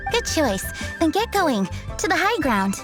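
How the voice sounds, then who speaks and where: A woman's voice: high voice, sing-song, measured pace, over music. A woman playing a proud, devout city local in a fantasy video game, speaking in emphatic declarations. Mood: approving. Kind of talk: video game character dialogue.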